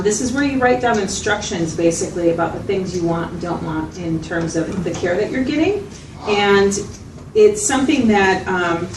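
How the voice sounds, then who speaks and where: Woman acting as a patient clinician lady in a training drama, measured explainer, echoey room w/ background noise. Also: lowish voice, lightly acted. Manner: measured, explanatory, checks for agreement. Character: patient, attentive female mental health clinician. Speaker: mental health clinician facilitator. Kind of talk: acted clinical interview, explanatory. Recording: roomy, distant mic, noticeable background noise.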